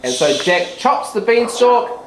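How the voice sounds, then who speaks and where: A teacher retelling a fairy tale simply and deliberately for young children, with asides to them, in a classroom. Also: mid-range voice, sing-song. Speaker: teacher narrating a children's puppet show. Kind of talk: live storytelling with asides to the children. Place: school classroom. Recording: echoey room, background noise.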